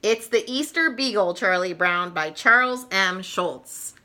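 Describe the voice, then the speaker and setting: A woman reading a children's story aloud in an expressive, playful way. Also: mid-range voice, a little slow. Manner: expressive, playful, chuckling asides. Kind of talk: animated read-aloud storytelling.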